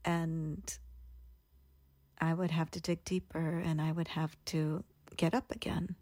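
A woman's voice: sits low, level tone, takes it slowly, darkish tone, no room sound. A woman in her thirties, earnestly and reflectively telling her own story as a guest in an interview. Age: 30s.

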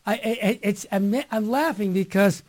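Loud-voiced man hosting live radio. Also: sits high, measured pace. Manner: loud voice, reads scripture aloud. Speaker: radio show host.

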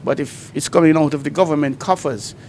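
A man's voice: fairly high pitch, brisk, darkish tone, slight background noise. A man co-hosting a call-in radio show, explaining things step by step with examples. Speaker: radio talk show co-host.

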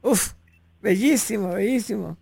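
A woman's voice: mid-range voice, unhurried, creaky, darkish tone, slightly rough, no room sound. A woman hosting a radio show, sending chatty, playful greetings to listeners. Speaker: radio host.

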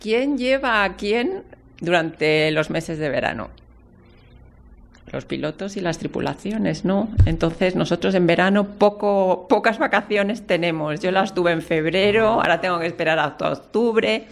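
A woman's voice: lowish voice, measured pace, vocal fry. A woman in her fifties from Spain, an airline captain chatting as a radio guest about travel.

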